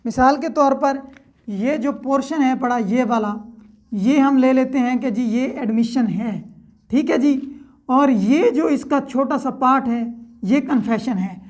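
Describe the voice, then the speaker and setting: A woman's voice: high-pitched, measured pace, darkish tone. A woman giving an explanatory lecture, step by step, repeating points and checking that listeners follow. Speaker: law lecturer.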